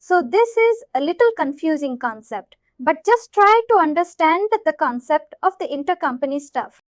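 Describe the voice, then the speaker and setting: A woman's voice: high-pitched, expressive, moderate pace, no room sound, highs cut off. A woman trainer explaining a concept to learners step by step, repeating herself and checking that they follow. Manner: repetitive, checks understanding often. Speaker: software trainer.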